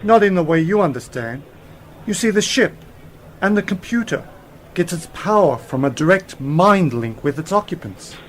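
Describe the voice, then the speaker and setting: A man's voice: high voice, animated, normal speed. A man acting as a brilliant, confident space-travelling scientist uncle in a radio drama, explaining things in an assured, theatrical way. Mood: lecturing. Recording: noticeable background noise.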